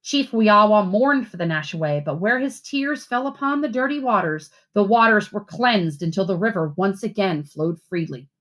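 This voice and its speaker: A woman's voice: medium pitch, animated, normal speed, few pauses, a bit bright. Woman teacher reading a story aloud. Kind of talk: read-aloud storytelling and simple instructions for children.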